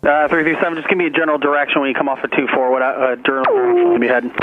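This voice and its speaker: A man working as an air traffic controller, giving clipped radio instructions with the odd relaxed aside. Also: fairly high pitch, very fast, no echo, narrow, tinny audio, faint music behind.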